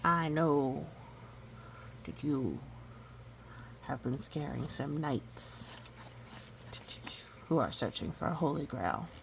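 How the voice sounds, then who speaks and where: A woman's voice: low-pitched, expressive, slow pace, gravelly, long pauses, slight background noise, exaggerated voice. A woman voicing a suspicious guinea pig with a teasing, accusatory manner for a storybook. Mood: accusing, teasing. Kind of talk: storybook character voice.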